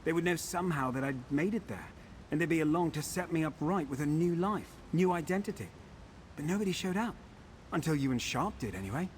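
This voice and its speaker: An actor playing a fussy, pampered defector in a video game, wry and chatty with a faintly aggrieved manner. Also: male voice, a little high, expressive, fairly quick, a little hiss or hum, natural voice. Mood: regretful. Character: fussy pampered defector from a wizard house, put-upon. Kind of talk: video game character dialogue.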